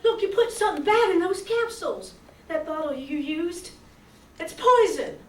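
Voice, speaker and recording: A woman's voice: very high voice, animated, normal speed. A woman playing a plucky, earnest twelve-year-old boy in a radio play. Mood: anxious, pleading. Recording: echoey, distant stage recording, faint noise.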